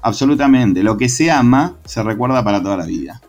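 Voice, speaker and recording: A man with an Argentine accent, a secondary school literature teacher, talking in a relaxed interview in a reflective, passionate way with frequent restarts. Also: medium pitch, a little fast, faint music behind. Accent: Argentine Spanish.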